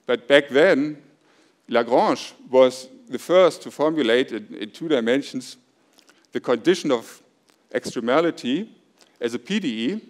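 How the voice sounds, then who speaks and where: A middle-aged man delivers a plenary math lecture at a podium microphone. His voice is loud but measured, with frequent small hesitations. The sound carries the openness of a large auditorium. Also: mid-range voice. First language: German. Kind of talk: academic lecture. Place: large dark auditorium stage.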